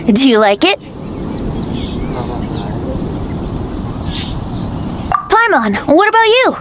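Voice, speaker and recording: A woman's voice: very high-pitched, sing-song, brisk, heavy background noise. A woman voicing a playful, boyish young male bard in a video game, talking in a teasing, theatrical way. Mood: playful, self-pleased. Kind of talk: animated video game acting.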